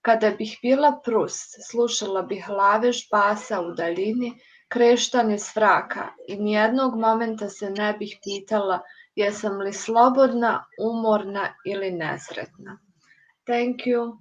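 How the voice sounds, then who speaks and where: A woman from Bosnia and Herzegovina reciting her poem in a measured way as a guest poet. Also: mid-range voice, fairly even intonation. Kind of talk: poetry recitation. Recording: dull lo-fi online call audio.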